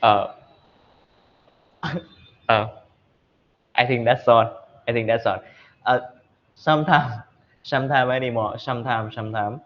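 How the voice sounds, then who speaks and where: A Vietnamese-accented man practices spoken English at a slow pace. He halts often and repeats phrases as he searches for the next word. His medium-pitched voice comes through a somewhat muffled, lo-fi recording. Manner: halting, repeats phrases while searching for words. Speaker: English learner. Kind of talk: speaking practice in an English lesson.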